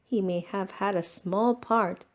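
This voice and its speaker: A woman reading a children's storybook aloud as scripted narration, expressive and drawing out words. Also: mid-range voice, slow pace. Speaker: storybook reader.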